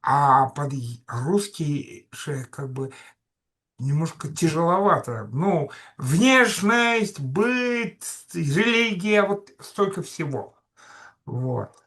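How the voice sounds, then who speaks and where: A man with a bright, forceful, gravelly voice hosts a political talk. He chimes in briskly and chattily, bringing up memories of his own, and sits slightly above the usual male pitch. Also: expressive. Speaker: host. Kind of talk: political conversation.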